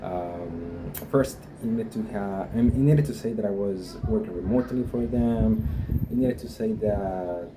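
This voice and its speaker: A man's voice: on the low side, a little slow. A young man from Guatemala, talking casually and hesitantly to camera about his visa process, with many fillers. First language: Spanish. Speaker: vlogger sharing a visa experience. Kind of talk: casual unscripted talk to camera. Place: a home in Spain. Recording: noisy room with a dog barking.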